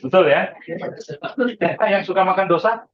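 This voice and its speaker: A man's voice: sits high, moderate pace, lo-fi sound. A man in his late thirties with an Indonesian accent gives an informal, conversational lecture to students, dotting his speech with small checking tags. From Bengkulu, Indonesia. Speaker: university lecturer. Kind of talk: informal lecture to students, mixing in English phrases.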